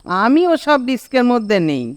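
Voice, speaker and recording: A man's voice: very high voice, sing-song, moderate pace, clear, resonant tone, dry recording, studio quality. A man with a Bengali accent voicing a bossy, scolding young village friend in a cartoon, with a nagging, exasperated manner. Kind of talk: animated cartoon dialogue.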